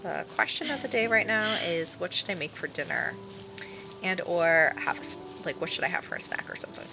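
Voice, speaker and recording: A woman's voice: lowish voice, animated, a little fast, vocal fry, low-quality recording. A young woman streaming a game, chatting in a bubbly way and thinking aloud. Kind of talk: casual chat while gaming. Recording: voice over loud game music.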